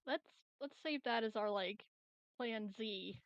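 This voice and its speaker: A woman's voice: fairly high pitch, slow pace, no room sound, dull audio. A woman chatting casually as a player in a tabletop game, with a wry, joking manner.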